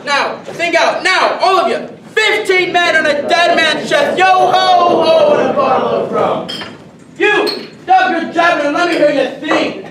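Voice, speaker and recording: An actor playing an old, drunken, brawling who talks in a gruff, threatening burr. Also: male voice, extremely high pitch, normal speed, hardly any pauses, a crowd in the background. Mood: bullying. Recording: echoey room, far mic, noisy.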